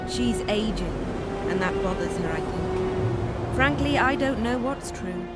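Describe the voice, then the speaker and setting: An actress playing a dragon in the guise of a young woman, speaking in a measured, composed and slightly formal way. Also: mid-range voice, lively intonation, over loud music, close to an everyday voice. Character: dragon posing as a young woman, calm and regal leader. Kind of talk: video game cutscene dialogue. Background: loud orchestral game score.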